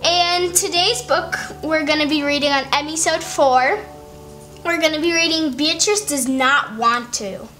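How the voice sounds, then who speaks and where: Children's storybook host, female, notably high voice, read-aloud narration. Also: a little slow, sharp tone, soft background music.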